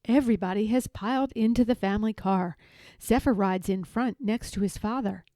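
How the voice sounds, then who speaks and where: A woman reading a children's story aloud in an animated, theatrical, expressive way. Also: mid-range voice, normal speed, dry recording, clean studio sound. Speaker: storybook reader.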